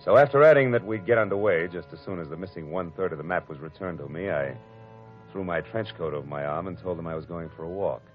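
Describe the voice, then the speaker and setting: A low-voiced, hard-boiled private eye, tough and wry in a 1940s radio crime drama. The recording is dull and old, with faint music behind. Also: male voice. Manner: wisecracking, tough. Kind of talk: 1940s radio acting, first-person noir narration.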